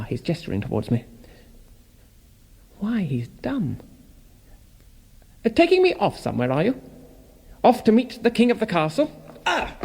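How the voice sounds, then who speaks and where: An actor playing a wry, eloquent young English gentleman hero, acting and narrating in a seventies radio drama. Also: high-pitched, a little hiss or hum, full character voice. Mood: wry bravado. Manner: wry, articulate, animated.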